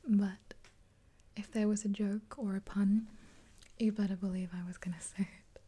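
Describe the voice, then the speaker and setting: Soft whispering young woman, breathy and even. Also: mid-range voice. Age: 20s. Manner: soothing, hesitant, unhurried. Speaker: ASMR video creator playing a makeup artist friend. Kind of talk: whispered ASMR roleplay, one-sided chat with the listener. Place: small indoor room, dark backdrop. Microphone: close to the mic, facing camera.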